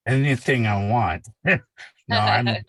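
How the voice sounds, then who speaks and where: Older retired guy, talks real slow, lowish voice, dry sound. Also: creaky, a bit bright, slightly rough, dry recording. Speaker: caller. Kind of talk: casual chat.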